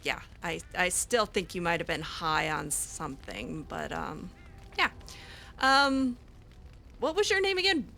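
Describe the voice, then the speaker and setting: Gaming roleplay host, woman, bright tone, hesitant and rambling, a bit snarky. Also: lowish voice, big pitch swings, measured pace, creaky, a little hiss or hum. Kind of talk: roleplaying a character in a video game.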